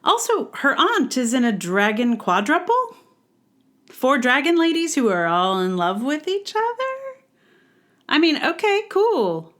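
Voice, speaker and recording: A woman's voice: high-pitched, big pitch swings, unhurried, fairly bright tone. Wry woman podcaster reading her book commentary. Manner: wry, sardonic delivery with exaggerated emphasis. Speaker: podcast host. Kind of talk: reading aloud a personal book-review blog.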